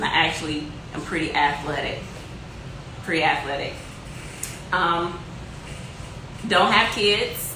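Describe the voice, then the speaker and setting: Southern girl in her 20s talking straight to camera, lots of um's, roomy sound, noisy background. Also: sits low. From North Carolina, US. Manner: chatty, upbeat, talks straight to viewers with lots of fillers. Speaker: business founder answering viewer questions. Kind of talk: casual livestream-style Q&A. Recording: echoey room with noticeable background noise.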